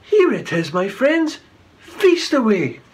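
A man voicing a gentle, polite and cheery Viking boy in a children's storybook reading. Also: extremely high pitch, huge pitch swings, takes it slowly, slight background noise, voice pushed up, exaggerated voice. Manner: courteous, cheerful. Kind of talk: children's storybook read-aloud.

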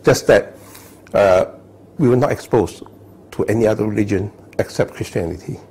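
A man in his fifties from Malaysia, sitting indoors, telling his life story thoughtfully with many pauses and "all right?" checks. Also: fairly low pitch, a little mellow, breathy edge. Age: 50s. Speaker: interviewee. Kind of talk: personal testimony, unscripted. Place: indoor living room, seated on sofa.

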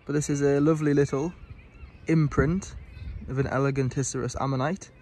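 A man's voice: mid-range voice, fairly even intonation, a little fast, darkish tone. A young man from England, a fossil hunter, narrating a casual vlog on a rocky beach and explaining his finds with enthusiasm. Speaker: fossil hunter, channel host.

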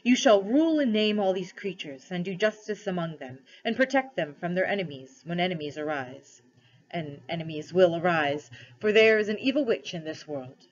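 A woman's voice: on the low side, lively intonation, normal speed, muffled recording. A woman voicing a great male lion king from a storybook, grave, wise and commanding, with formal speech. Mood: solemn, regal.

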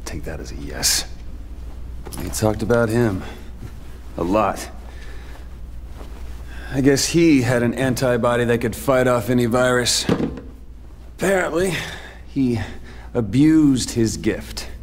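An actor playing a bitter, tough young mercenary in a video game cutscene, American, with a blunt, sardonic delivery. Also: male voice, mid-range voice, expressive, takes it slowly, long pauses, long reverb tail, a little hiss or hum. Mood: guarded, sardonic. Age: young adult.

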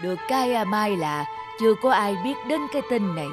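A wise old retired mandarin from a cartoon. He is calm, kindly and crafty, speaking in a measured, reflective manner. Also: high-pitched, over music. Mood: calm, thoughtful. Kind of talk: cartoon dialogue.

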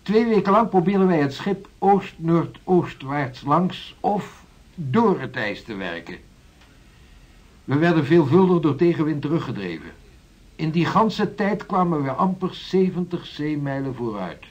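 Measured seafaring man of long ago. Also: a little high, very animated, highs cut off. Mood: measured, reporting. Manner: formal, archaic phrasing, deliberate. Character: 16th-century Dutch navigator, a measured, patient seafarer reporting. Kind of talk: radio drama acting, storytelling report.

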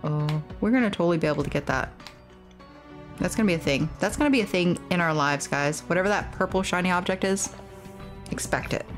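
A woman giving playful, expressive let's play commentary on a game, excitable and theatrical when reading aloud. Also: lowish voice, a little fast, faint music behind. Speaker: game streamer and host.

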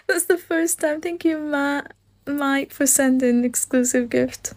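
Young filipina girl on a phone live, slow easy talk, bit high, giggly and gushy. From Philippines. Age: young adult. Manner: gushing, giggly, thanking viewers. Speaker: live streamer. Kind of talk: casual live-stream chat reading comments. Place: indoor room. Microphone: phone held close at arm's length.

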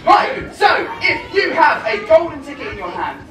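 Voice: high-pitched, big pitch swings, moderate pace, shouting. A playful, jokey pantomime performer hosting audience banter from a theatre stage. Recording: roomy theatre, distant mic, crowd and music. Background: audience noise and music.

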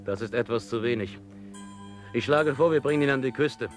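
Actor as brisk mid-pitched expedition investor. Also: male voice, faint music behind, lightly acted. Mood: brisk, insistent. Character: brisk, self-interested male expedition investor. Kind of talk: radio drama acting.

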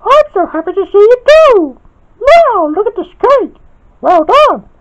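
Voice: extremely high pitch, sing-song, a little slow, voice pushed up, full character voice. A performer voicing a gushing, breezy uninvited villain in a children's story. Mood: gushing, sarcastic. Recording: dull lo-fi recording.

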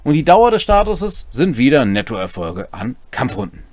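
A man's voice: mid-range voice, sing-song, moderate pace, darkish tone, no room sound. A man in his forties explaining tabletop game rules in a conversational way as a co-host and game master. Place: small home room, indoors.

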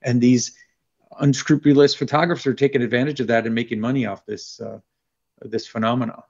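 A man's voice: medium pitch, fairly even intonation, fairly quick, a little mellow. A middle-aged man talking in an earnest, rambling way, repeating words as he gets worked up, in a video call discussion. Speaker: panel guest. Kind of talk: casual discussion. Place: indoor studio room on a video call. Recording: dull lo-fi video call audio.

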